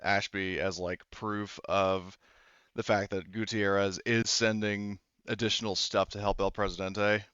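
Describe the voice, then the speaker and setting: Male game master, smooth and fairly low, casual tabletop talk, dry but slightly lo-fi recording. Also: little pitch movement, measured pace, fairly bright tone, no echo.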